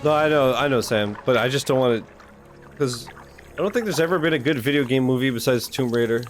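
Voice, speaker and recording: A man's voice: mid-range voice, fairly quick. A man streaming his reactions to game cutscenes in casual, joking chat, swearing freely. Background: video game cutscene audio and music.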